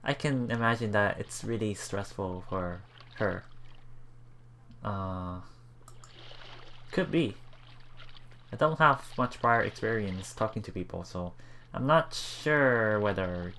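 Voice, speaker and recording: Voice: mid-range voice, lively intonation, takes it slowly, pauses often, a bit bright, slight background noise. A let's play host reading game text aloud with casual commentary, often stumbling and repeating words.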